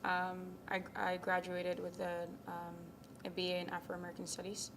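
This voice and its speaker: A young woman from Ghana speaks in a low, fairly flat voice. She answers interview questions casually and softly, full of ums, and sometimes trails into a near whisper. Clear background noise sits under the recording. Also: moderate pace. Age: young adult. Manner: soft-spoken, many ums, drops to near whispers. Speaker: college tennis captain. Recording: noisy background.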